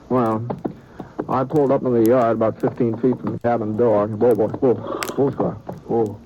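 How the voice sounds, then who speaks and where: A man's voice: mid-range voice, noisy background, lightly acted. An actor playing an easygoing drifting gunman who narrates, with a folksy, hesitant drawl in an old radio western. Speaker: narrator and lead. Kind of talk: 1950s radio drama acting.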